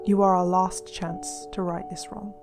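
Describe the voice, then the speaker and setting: A woman as a serious investigator with a lowish voice, narrating a mystery in measured, solemn phrases. Instrumental music plays clearly beneath her scripted radio delivery. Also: little pitch movement. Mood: grave, questioning. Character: serious female investigator narrating unsolved disappearances.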